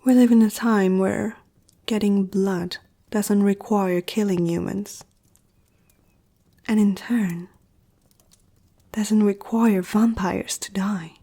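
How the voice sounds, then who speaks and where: A woman playing an ancient, powerful vampire aristocrat in an ASMR roleplay, poised, intimate and seductive, speaking in a breathy half-whisper. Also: mid-range voice, fairly even intonation, takes it slowly, long pauses, dark tone. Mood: measured, persuasive.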